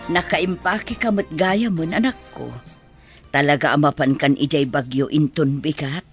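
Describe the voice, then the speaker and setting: A woman with an Ilocano accent playing an anxious, tender village mother in a radio drama, with a husky voice. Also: sits low, normal speed, faint music behind. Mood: tender, resigned. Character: elderly village mother, tender, anxious for her departing son. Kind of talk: Filipino radio drama acting.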